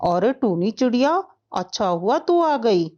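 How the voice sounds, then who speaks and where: A woman's voice: on the high side, big pitch swings, measured pace, a little mellow, dull audio, voice pushed down. A woman voicing an authoritative, self-important male parrot headman in a cartoon. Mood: businesslike.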